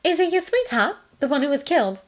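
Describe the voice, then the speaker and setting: Narrator as naive, frank young nurse, high lively voice. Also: female voice, moderate pace. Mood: casual, curious. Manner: artless, forthright. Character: young well-bred female nurse, naive, frank, curious. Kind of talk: audiobook character dialogue.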